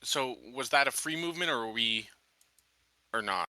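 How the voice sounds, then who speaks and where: A man's voice: mid-range voice, expressive, moderate pace, bright, forceful tone, dry recording. A man chatting casually as a tabletop game player, thinking aloud about his moves.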